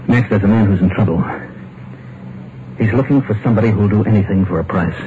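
Ruined husband, male actor, lowish and earnest, tinny old radio, music. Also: a little fast, noisy background, natural voice. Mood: desperate. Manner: earnest, strained. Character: desperate ruined middle-aged husband. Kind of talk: 1950s radio drama, also narrating.

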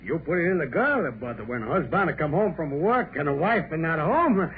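A man's voice: on the high side, very animated, moderate pace, a little hiss or hum. A man playing a nosy, teasing Italian immigrant handyman with an Italian accent and broken English, in a tinny 1930s radio drama.

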